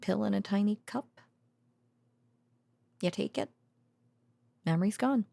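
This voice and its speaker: A woman voicing a tender, weary researcher girlfriend in an intimate ASMR audio roleplay, speaking softly and hesitantly, partly in whispers, close to the microphone. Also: medium pitch, expressive, unhurried, dry recording. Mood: wry, musing. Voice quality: soft, breathy, partly whispered. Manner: hesitant, drowsy, musing, with sighs and soft chuckles.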